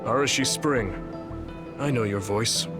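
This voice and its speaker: An actor playing a weary, blind old retired hero in a video game, speaking gravely and slowly measured, full of regret. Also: male voice, medium pitch, over music, close to an everyday voice. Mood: wary, recognizing. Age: older. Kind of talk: dramatic video game voice acting.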